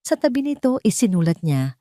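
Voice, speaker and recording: A woman reading a romantic drama as a storyteller, in a measured and heartfelt way, close to the mic. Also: medium pitch, very animated, dark tone, no echo. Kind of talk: scripted drama narration.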